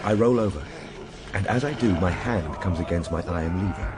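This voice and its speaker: A man's voice: deep voice, brisk, noisy background, lightly acted. A man playing a time traveler recounting his ordeal in solemn, literary radio drama narration. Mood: urgent. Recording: radio drama over loud background ambience.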